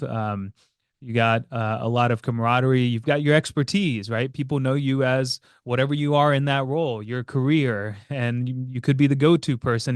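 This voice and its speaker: A man co-hosting a podcast as a financial planner, explaining in a casual, halting way with many fillers. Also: mid-range voice, clear, resonant tone, no room sound, clean studio sound. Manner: hesitant, many fillers and restarts.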